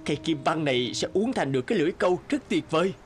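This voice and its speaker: A man voicing a poor, patient guitarist who turns fisherman in a fairy-tale cartoon, with expressive, exclamatory delivery. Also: on the high side, very fast, music in the background. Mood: excited.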